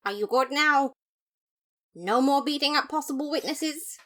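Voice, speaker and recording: A woman's voice: high-pitched, measured pace, fairly bright tone, voice pushed up. A woman voicing a pompous, earnest male skeleton detective, theatrical and dramatic, in an audio story. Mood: sceptical. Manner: theatrical, exclamatory.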